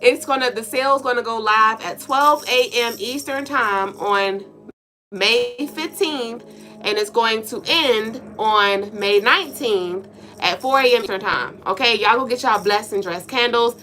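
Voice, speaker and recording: A congested woman with a nasal, stuffed-up voice chats on her livestream, lively and playful, cursing freely as she greets viewers. Her voice sits a little high and sounds bright and sharp. Soft music plays faintly behind her. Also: a little slow. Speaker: livestream co-host. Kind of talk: casual livestream chat with viewers.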